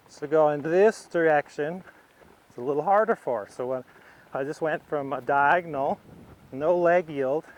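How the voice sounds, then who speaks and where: A man with a smooth, slightly high voice talks through a riding demonstration as an instructor. He takes his time, laying out each step in short phrases as if thinking aloud, at a markedly slow pace. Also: low-quality recording.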